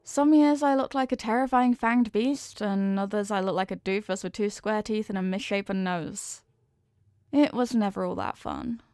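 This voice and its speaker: A woman's voice: mid-range voice, moderate pace, darkish tone, no echo, clean studio sound, natural voice. A woman playing a sweet, devoted headless horsewoman girlfriend in an intimate ASMR roleplay, affectionate, playful and gushing. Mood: tender, wistful.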